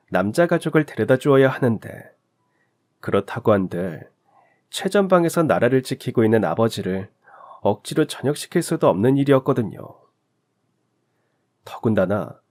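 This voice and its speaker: Guy reading a sentimental life story, calm and reflective, middle pitch. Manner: gentle, reflective first-person telling. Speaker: story narrator. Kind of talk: scripted storytelling narration.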